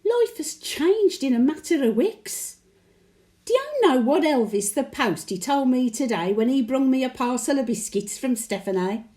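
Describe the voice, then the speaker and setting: A woman's voice: high-pitched, very animated, normal speed, dark tone. A woman playing a chatty, sentimental elderly Birmingham widow with a Brummie accent, reminiscing in a folksy, plain-speaking monologue. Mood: rueful, chatty. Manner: folksy dialect, wistful and chatty. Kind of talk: dramatic monologue, conversational reminiscence.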